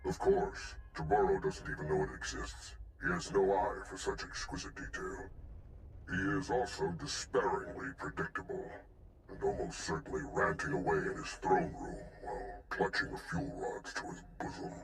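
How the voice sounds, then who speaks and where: Deep, gravelly, pompous male scientist. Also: animated, normal speed, slight background noise, poor audio. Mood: haughty, precise. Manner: pompous, haughty. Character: vain, pompous male scientist and weapons designer. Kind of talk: video game voice acting.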